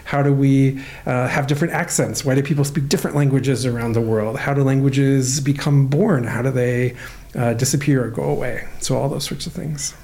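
Guy professor explaining stuff, um-ing and uh-ing, average pitch. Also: fairly even intonation, normal speed, darkish tone. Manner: thoughtful, many "um" and "uh" while explaining. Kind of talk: relaxed explanatory interview answers.

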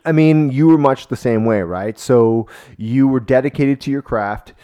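A man's voice: medium pitch, moderate pace, warm tone. Canadian male podcast host in his forties. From Toronto, Canada. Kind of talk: casual podcast interview.

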